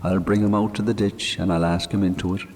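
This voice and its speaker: A man acting out a grieving, brooding Irishman who talks low and half to himself over a fresh grave. His voice sits a little higher than the deep narration around it, and it carries a long hall reverb in this storybook telling. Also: flat delivery, a little fast, a little mellow, slight background noise. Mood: grim, vengeful.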